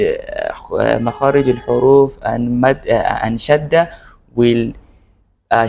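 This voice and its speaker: A man's voice: medium pitch, a little mellow. Earnest man teaching Arabic. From Nigeria. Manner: earnest, repeats and restarts phrases. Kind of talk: video lesson to camera.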